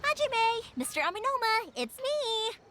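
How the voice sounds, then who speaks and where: A woman's voice: very high voice, sing-song, a little slow, bright, forceful tone, a little hiss or hum, full character voice. A woman playing a bubbly, chatty young female fireworks maker in a video game, exclamatory and informal. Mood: eager, pleading. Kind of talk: animated video game voice acting.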